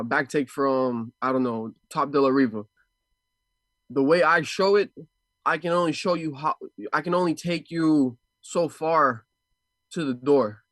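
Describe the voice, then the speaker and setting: Male martial artist, interview guest, medium pitch. Casual, thoughtful, halting delivery with repeated words. Dry recording. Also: a bit bright, no room sound. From Ecuador and Houston, USA. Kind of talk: casual, reflective interview talk.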